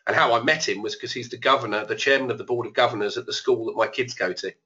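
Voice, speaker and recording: A man's voice: mid-range voice, fast, pushed and bright, lo-fi sound. A man who is losing his voice a bit, casually talking through live trades as the host of an online trading room. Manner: casual, thinking aloud. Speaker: trading educator.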